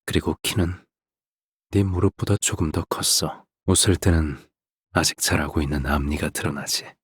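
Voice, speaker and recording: A very low, husky father figure from a video game. He speaks softly and deliberately, as if reading a storybook to his child. Beneath the gentle coaxing sits a controlling, quietly menacing temper. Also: exaggerated voice. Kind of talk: scripted game voice acting.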